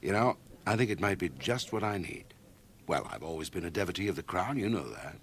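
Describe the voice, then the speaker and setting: A wealthy, ambitious society man in a radio play. His deep voice swings expressively, and he confides with hearty, pompous self-satisfaction. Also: expressive, a little fast. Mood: proud, enthusiastic. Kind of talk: radio drama acting. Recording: 1980 radio drama on cassette.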